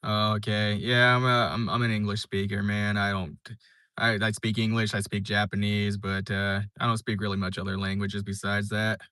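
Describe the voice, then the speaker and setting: A man's voice: on the low side, little pitch movement, moderate pace, sharp tone, clear, resonant tone, dry recording, clean studio sound. A young man live streaming, chatting casually and reading viewer comments, rambling with lots of fillers and restarts. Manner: laid-back, rambling, many fillers and restarts.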